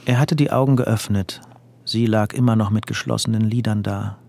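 Radio drama narrator: intimate, calm man, low husky voice. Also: normal speed. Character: calm, intimate male storyteller.

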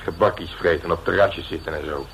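An actor playing a gruff, streetwise veteran police detective, blunt and domineering in colloquial radio drama dialogue. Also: male voice, sits low, steady tone, brisk, a bit bright. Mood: scornful. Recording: dull, noisy old radio recording.

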